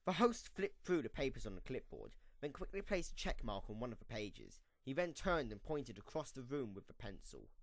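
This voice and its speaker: Male narrator for fan radio play; expressive range, dry recording. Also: mid-range voice, normal speed, hardly any pauses, no echo. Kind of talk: scripted storybook narration for a fan audio drama.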